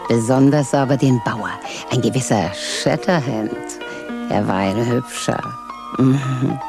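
A woman's voice: a bass voice, slow, music in the background, heavily acted. A woman in a radio drama speaking in a dry, matter-of-fact way, later declaiming a strange incantation. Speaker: radio drama lead character.

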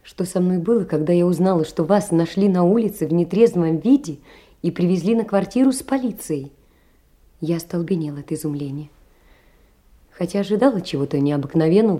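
An actress playing a poor, tender young woman in an audio play, earnestly and sorrowfully reproaching in measured literary phrases. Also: lowish voice, warm tone. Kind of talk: theatrical audio play, reading a letter aloud.

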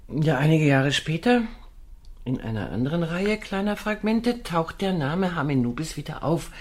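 An actress playing an archaeologist's wife in a German radio drama, explaining in a deliberate, thoughtful way. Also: female voice, low voice, lively intonation, darkish tone. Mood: absorbed, recollecting.

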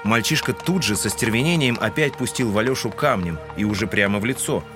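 A man's voice: on the low side, expressive, fairly quick. A man giving scripted literary narration in an audio drama. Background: music.

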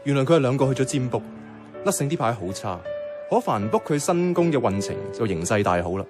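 An actor playing an eager young friend who philosophises in a Cantonese radio drama, playfully earnest, with a Hong Kong accent. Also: male voice, mid-range voice, very animated, quick pace, lightly acted. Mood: curious. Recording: music clearly behind the voice. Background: music.

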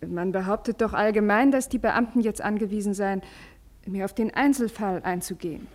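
An actress as a level-headed woman in an old radio play. Her voice is mid-pitched. She speaks soothingly and matter-of-factly, as if steadying someone who worries. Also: normal speed, darkish tone, lightly acted. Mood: reassuring. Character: calm, reassuring woman advising a worried acquaintance. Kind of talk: 1950s German radio play acting.

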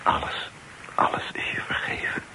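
An actor playing a forgiving middle-aged father in a radio drama, with a hushed, soothing delivery. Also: normal speed, in a whisper. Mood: tender, moved. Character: forgiving, tender father of a grown daughter. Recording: noisy old radio play recording.

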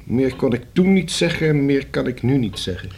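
A man's voice: mid-range voice, lively intonation, normal speed, a little mellow, lightly acted. Notary played by a man, mid-range voice, stiff, precise, unyielding, old radio drama with faint hiss. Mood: guarded, stiff. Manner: stiff, formal, precise, evasive. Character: guarded, stiffly formal male notary withholding secrets. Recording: hissy old radio play recording.

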